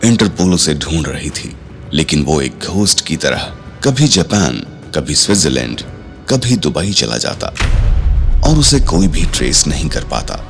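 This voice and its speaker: Male narrator, audio drama. Very deep, animated, suspenseful delivery over soft music. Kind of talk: dramatic scripted story narration. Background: background music.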